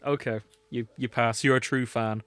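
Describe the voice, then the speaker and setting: Bright-voiced man hosting a casual podcast. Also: medium pitch, fairly even intonation, moderate pace. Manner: joking, teasing. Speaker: podcast host. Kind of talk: casual banter with friends.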